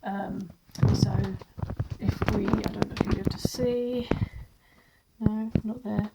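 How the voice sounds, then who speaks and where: A woman chatting about a book at home, her nose blocked up from a cold. She rambles loosely, trips over and repeats her words, and laughs often. Her pitch sits at an ordinary middle height. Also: fairly even intonation, a little slow, vocal fry, heavy background noise. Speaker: book reviewer. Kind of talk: casual chat to camera.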